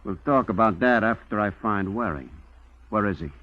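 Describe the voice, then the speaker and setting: An actor playing a menacing, impatient crime boss, curt and commanding in vintage radio crime style. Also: male voice, fairly low pitch, moderate pace, no room sound, close to an everyday voice. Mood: cold menace. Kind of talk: 1940s-1950s radio crime acting. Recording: dull vintage radio recording.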